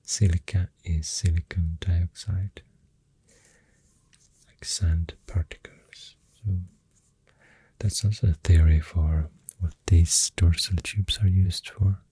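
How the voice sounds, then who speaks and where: An ASMR creator with a Swedish accent, speaking very softly and often near a whisper, thinking aloud hesitantly into a close microphone. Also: deep voice, fairly even intonation, slow, no room sound. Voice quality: very soft, breathy, often dropping to a whisper. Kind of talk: soft-spoken ASMR, rambling science facts.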